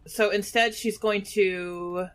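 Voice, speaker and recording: A woman's voice: medium pitch, steady tone, takes it slowly, faint music behind. A woman playing a tabletop roleplaying game, casually describing what her character will do on her turn.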